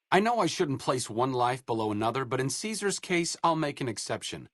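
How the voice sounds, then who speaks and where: A man's voice: medium pitch, dry recording, close to an everyday voice. An actor playing a dry, sardonic male scientist companion in a video game. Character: wry, educated male scientist companion in a wasteland. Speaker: companion character.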